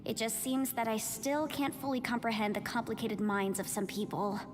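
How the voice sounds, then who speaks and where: A woman's voice: on the high side, steady tone, normal speed, noisy background, close to an everyday voice. A woman playing an earnest, polite young legal advisor in a video game, thoughtful and articulate.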